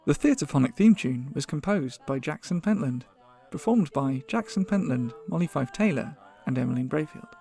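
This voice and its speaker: A man's voice: fairly high pitch, lively intonation, normal speed, creaky, dark tone. A man reading a scripted credits announcement as a podcast announcer. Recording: faint music behind the voice.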